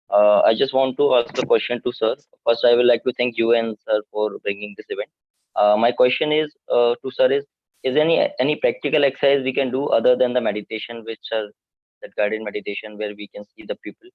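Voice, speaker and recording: An Indian man with a mid-pitched voice politely asks a question from the audience. He sounds thin and phone-like, with no room echo. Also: steady tone, a little fast.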